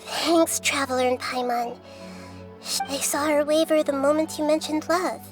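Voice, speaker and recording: Woman as little girl goddess for a game: high voice, gentle, wise, earnest and measured, music underneath. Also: steady tone, natural voice. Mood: calm, thoughtful. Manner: earnest, measured, analytical phrasing. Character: small childlike goddess, gentle, wise and thoughtful. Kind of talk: scripted video game voice acting. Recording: music behind the voice.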